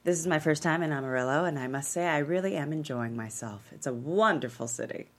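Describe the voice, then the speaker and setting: A woman's voice: low-pitched, fast, natural voice. A woman at a screenplay table read, playing a poised, commanding and playful female talk show host with folksy, emphatic showmanship. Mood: gracious, chatty. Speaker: actor at a script table read.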